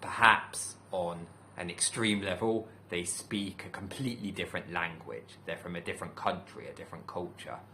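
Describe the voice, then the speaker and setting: Calm, deep-voiced man. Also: slight background noise. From United Kingdom. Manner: calm, thoughtful, measured with pauses. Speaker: teacher and channel host. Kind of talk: reflective solo talk to camera.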